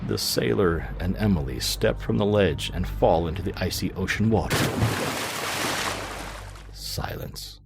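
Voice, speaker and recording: A man narrating a scripted fiction podcast in a measured, grave, dramatic storytelling manner. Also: sits low, vocal fry, heavy background noise.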